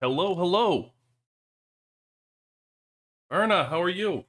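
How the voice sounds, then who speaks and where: A man's voice: high-pitched, lively intonation, slow pace, a bit bright. A man hosting a livestream in loose, rambling casual chat with joking asides, talking into a cheap headset. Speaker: livestream host. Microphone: cheap headphones headset.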